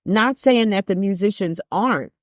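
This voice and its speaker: A woman's voice: normal speed, no room sound, studio quality. An older woman, a jazz singer, talking candidly as a podcast guest and stressing her points by repeating them. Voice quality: deep voice. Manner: emphatic, repeats phrases for stress.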